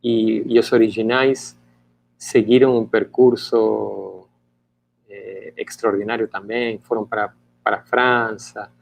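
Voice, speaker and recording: A man's voice: lowish voice, level tone, takes it slowly, creaky, poor audio. A middle-aged man whose native language is Spanish, talking reflectively in an interview with many hesitant restarts. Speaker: interviewee, author.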